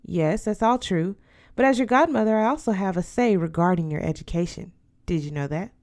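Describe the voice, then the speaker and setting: A woman's voice: lowish voice, brisk, darkish tone, lightly acted. A woman voicing a proud, affectionate older godmother in a storybook, advising with emphasis. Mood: proud, confiding.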